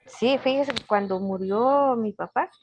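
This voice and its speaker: An older woman, unhurried and full of restarts, telling family stories as a guest on a video call. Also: fairly high pitch, a little hiss or hum. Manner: warm, unhurried storytelling with frequent restarts. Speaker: guest speaker, forest guardian. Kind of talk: casual storytelling interview. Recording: dull lo-fi video call audio.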